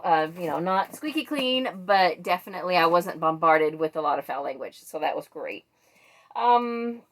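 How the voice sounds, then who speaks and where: A woman book vlogger chatting casually and thinking aloud as she goes. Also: mid-range voice. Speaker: book vlogger presenting a monthly reading wrap-up. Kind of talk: casual chat to camera.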